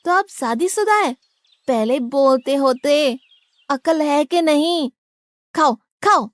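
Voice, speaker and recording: A woman voicing a blunt, complaining, hot-headed young boy devotee in an animated moral story. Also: high voice, slow pace, no echo, studio quality. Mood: indignant.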